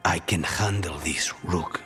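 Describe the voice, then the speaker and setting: A very low-voiced, guarded male assassin from a video game. He speaks in terse, brooding phrases that can sink close to a whisper, set on revenge. Soft music plays underneath. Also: a little slow. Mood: guarded, businesslike.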